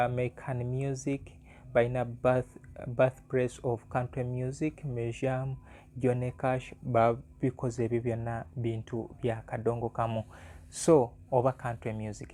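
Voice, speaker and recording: Ugandan male vlog host, relaxed dark tone, conversational, repeats for emphasis, slight noise behind. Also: mid-range voice. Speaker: music analyst and channel host. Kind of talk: informal music vlog presentation.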